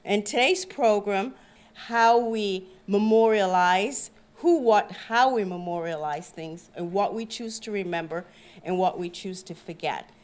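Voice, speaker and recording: A woman's voice: medium pitch, measured pace. A woman hosting an event, giving upbeat, welcoming opening remarks. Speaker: event host.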